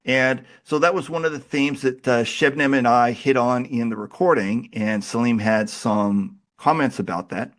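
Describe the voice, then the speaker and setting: A man hosting a podcast while he has a cold, talking in a relaxed, chatty and self-deprecating way. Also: medium pitch, normal speed, few pauses. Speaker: podcast host. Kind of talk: casual interview chat.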